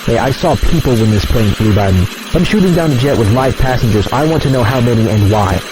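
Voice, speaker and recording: An actor playing a conscientious military fighter pilot in an audio drama, speaking in terse radio procedure. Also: male voice, medium pitch, lively intonation, brisk, natural voice. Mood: troubled, demanding. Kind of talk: modern audio drama acting. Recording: radio chatter over engine noise.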